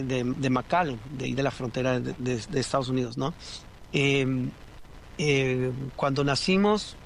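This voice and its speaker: A man's voice: mid-range voice, a little hiss or hum. A man, telling his story as a guest in a casual interview, with many restarts and fillers. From Rio Grande Valley, Texas.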